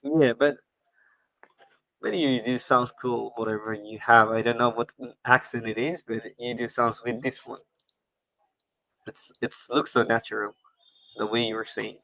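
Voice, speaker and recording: Halting man hosting a live stream. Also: mid-range voice, steady tone, creaky, bright, forceful tone, no echo, low-quality recording. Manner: halting, repeats words, non-native grammar. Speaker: host. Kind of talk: casual live-stream chat.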